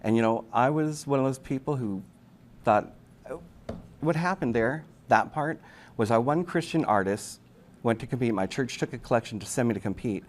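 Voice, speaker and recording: A man with a middle-range voice talks as an interview guest. He tells anecdotes in an animated, self-deprecating, chatty way. Clear ambient noise sits behind him. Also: mid-range voice, normal speed. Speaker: interview guest, actor and writer. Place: busy convention event room. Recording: clearly noisy live event room recording.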